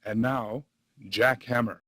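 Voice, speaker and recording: A man hosts a radio program with a scripted introduction. His voice is medium in pitch, with soft guitar music playing behind him. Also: fairly even intonation, takes it slowly. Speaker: radio show host. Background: faint guitar music.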